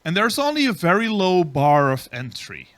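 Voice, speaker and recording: A man's voice: sits high, very animated, normal speed, fairly bright tone. Man giving a conference talk. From Belgium. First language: Dutch. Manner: explanatory, frequent ums. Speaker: conference speaker. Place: conference stage.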